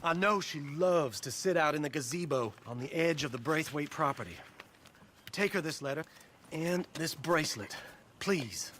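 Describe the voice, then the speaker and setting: A man's voice: fairly high pitch, animated, moderate pace, a bit bright, slight background noise. An actor playing a nervous, earnest, lovestruck young man, rambling through his confessions.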